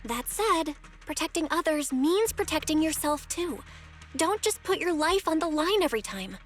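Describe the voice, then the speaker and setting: Game role: level-headed, caring young heroine, high voice, light music underneath. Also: female voice, animated, moderate pace. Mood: concerned. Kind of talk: video game voice acting.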